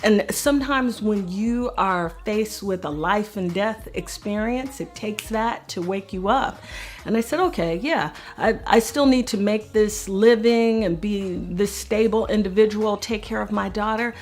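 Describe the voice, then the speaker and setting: A woman's voice: mid-range voice, unhurried. A woman singer giving a reflective personal interview, telling her own story in conversational language. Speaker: singer in a family group.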